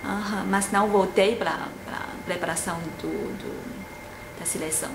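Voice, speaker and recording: A woman in her late twenties from China, with a Chinese accent and Mandarin as her first language. She answers interview questions haltingly, filling pauses with many "ah" sounds as she searches for words. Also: medium pitch, steady tone, noisy background. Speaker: graduate student and language teacher. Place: indoor office room with a globe and plants. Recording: roomy with audible background ambience.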